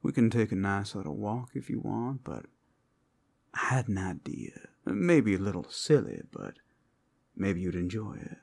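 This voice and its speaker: Guy doing a flirty teasing werewolf for asmr roleplay, deep n raspy, slow playful drawl. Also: big pitch swings, darkish tone, exaggerated voice. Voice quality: gravelly, husky, often dropping to a whisper. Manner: slow, playful, seductive drawl with pet names. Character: flirtatious, teasing male werewolf companion in a fantasy world. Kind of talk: ASMR roleplay, intimate scripted acting to the listener.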